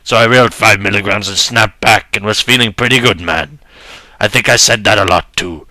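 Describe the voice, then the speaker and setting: A man's voice: on the low side, fairly quick, pushed and bright, dry recording. A young man giving a dramatic first-person reading of a story in a mock-serious, earnest manner.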